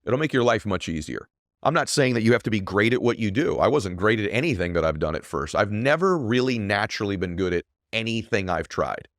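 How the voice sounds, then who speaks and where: A middle-aged man with a deep voice, hosting a show and giving an emphatic motivational monologue that often asks "right?". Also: animated, a little fast, no echo, studio quality. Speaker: podcast host.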